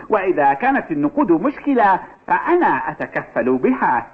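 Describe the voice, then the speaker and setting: A man's voice: sits high, exaggerated voice. An actor playing a jovial, boastful middle-aged rich father in an Arabic cartoon dub, effusive and prone to chuckling. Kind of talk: dubbed cartoon acting in formal Arabic. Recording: tinny, band-limited old TV dub.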